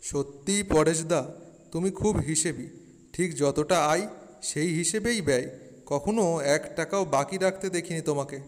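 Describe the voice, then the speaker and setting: A man's voice: fairly high pitch, moderate pace, lightly acted. A man voicing a friendly, helpful village shopkeeper in a cartoon story, chatty and obliging. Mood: admiring. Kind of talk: Bengali moral-story cartoon dialogue. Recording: long hall-like reverb.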